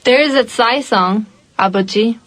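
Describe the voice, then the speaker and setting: A woman co-hosting a radio show in a studio, bubbly and quick to laugh. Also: fairly high pitch. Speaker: radio co-host. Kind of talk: casual radio chat.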